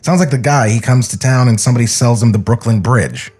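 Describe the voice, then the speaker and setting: A man playing an excitable young cartoon animator explaining his drawings emphatically in a comic script reading. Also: medium pitch, a little fast, breathy edge, soft background music, natural voice. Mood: insistent.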